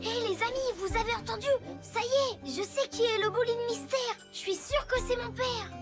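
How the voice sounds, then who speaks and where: A woman voicing an excitable, curious young boy rescue motorbike in a children's cartoon dub. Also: very high voice, normal speed, over loud music. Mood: thrilled.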